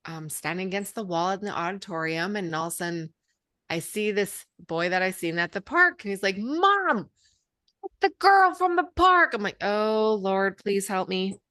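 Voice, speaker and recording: A woman from Alberta co-hosting a podcast, telling stories in a chatty, animated way. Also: medium pitch, normal speed, no echo. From Alberta, Canada. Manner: chatty, animated storyteller, acts out quotes. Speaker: podcast co-host. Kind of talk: casual storytelling chat.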